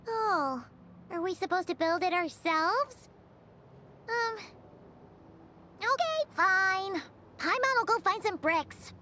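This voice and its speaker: A tiny fairy sidekick from an anime game. The voice is squeaky and extremely high, swooping up and down at a slow pace. It sounds childish, excitable and a little whiny. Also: female voice, a little hiss or hum, exaggerated voice. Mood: grudging. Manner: childish, refers to self in third person.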